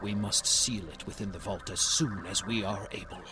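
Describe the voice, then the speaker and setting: Man voicing a solemn, low robot guardian. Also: fairly even intonation, normal speed, background noise, close to an everyday voice. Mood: troubled dread. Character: solemn robot guardian keeping a grave log. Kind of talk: video game voice acting, dramatic log narration.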